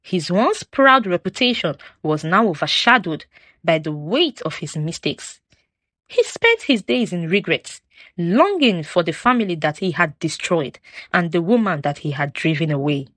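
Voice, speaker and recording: A woman narrating a folktale from a script in a solemn, expressive, dramatic storytelling style. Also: fairly low pitch, moderate pace, no echo.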